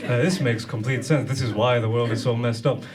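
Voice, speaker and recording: A man from Sri Lanka doing a dry, deadpan stand-up set from a chair on a nightclub stage, with many hesitant uhs. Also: mid-range voice, brisk, recorded from a distance, heavy background noise. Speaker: stand-up comedian. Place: nightclub stage, seated, before a laughing audience. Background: audience laughter.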